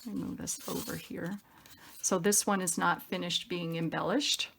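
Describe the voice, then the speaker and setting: A woman's voice: fairly low pitch, fairly even intonation, normal speed, creaky, a bit bright, breathy edge. A woman hosting a craft tutorial in a casual, chatty style, upbeat and effusive, thinking aloud as she works.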